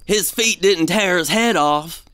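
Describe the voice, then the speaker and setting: A man's voice: high-pitched, takes it slowly, pushed and bright, a little hiss or hum, full character voice. A man playing a fearful, superstitious gold prospector with a folksy, haunted delivery in an audio drama. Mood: uneasy.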